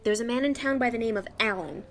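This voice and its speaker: A woman's voice: on the high side, fast, slight background noise, natural voice. A woman playing a chatty, outspoken young store clerk in a radio play, talking in a folksy, slangy, confiding way. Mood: friendly, confiding.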